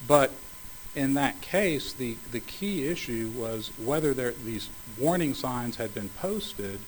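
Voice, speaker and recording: A man arguing as attorney for a city in an appellate court, speaking in measured, formal legal phrasing, slightly hoarse. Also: medium pitch, slight background noise, low-quality recording. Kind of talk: appellate oral argument.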